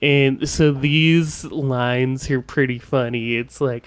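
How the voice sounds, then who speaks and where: A man hosting a podcast in casual conversation, with a smooth voice and a halting way of talking full of asides. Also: mid-range voice, steady tone. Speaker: podcast host.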